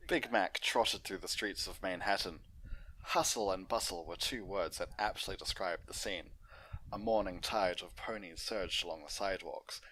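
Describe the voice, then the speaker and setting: A man's voice: sits low, a bit bright, a little hiss or hum, low-quality recording. A man hosting and reading fan fiction aloud as an amateur narrator. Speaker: host and story reader.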